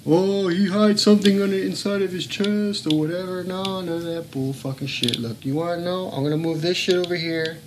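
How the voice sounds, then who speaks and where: A man's voice: high-pitched, a little fast, few pauses. Man, casual home vlogger, insistent and blunt, noisy phone audio. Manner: earnest, blunt, swears, speaks up insistently. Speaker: home video blogger. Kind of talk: casual filmed commentary. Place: home room.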